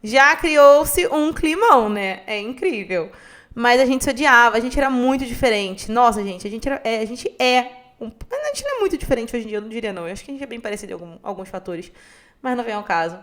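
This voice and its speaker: A young woman from Rio de Janeiro with a Carioca accent, hosting a podcast and telling her own story in a chatty, expressive way that keeps turning to her listeners. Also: a little high, quick pace. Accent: Carioca Brazilian Portuguese. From Rio de Janeiro, Brazil. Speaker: podcast host. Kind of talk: casual storytelling monologue.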